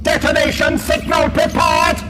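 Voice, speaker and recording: Casting: ruthless robotic alien commander, extremely high, harsh ring-modulated bark, deliberate clipped pacing, for sci-fi audio drama. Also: male voice. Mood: cold, commanding. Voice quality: harsh electronic staccato monotone. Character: ruthless alien war machine commander, barking orders. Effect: robotic ring-modulated voice filter. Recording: loud music bed behind voice.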